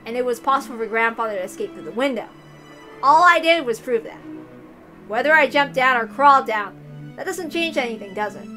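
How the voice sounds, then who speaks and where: A woman's voice: on the high side, expressive, brisk, pushed and bright, faint music behind. A woman voicing a level-headed, dismissive young man in a mystery story, casual and questioning. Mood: calm rebuttal.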